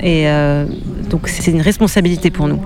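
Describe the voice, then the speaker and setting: Female journalist, interviewee; measured, thoughtful delivery with frequent hesitations. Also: sits low, a crowd in the background. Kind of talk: interview answers.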